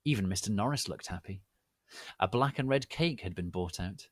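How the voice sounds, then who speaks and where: A man giving a deliberate, theatrical dramatic reading of fan fiction as narrator. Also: lowish voice, expressive, breathy edge, no room sound. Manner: deliberate, theatrical, reads misspellings exactly as written.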